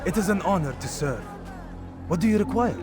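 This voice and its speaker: Loyal game messenger man, high voice, obedient, music behind. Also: lively intonation, a little fast. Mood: dutiful. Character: dutiful, obedient male messenger. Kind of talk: video game cutscene acting.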